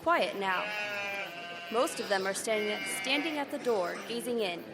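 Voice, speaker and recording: An actress playing an eager field news reporter who keeps pressing with questions in a live radio drama. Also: female voice, mid-range voice, lively intonation, over loud music, close to an everyday voice. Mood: hushed awe. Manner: brisk, pressing reporter delivery.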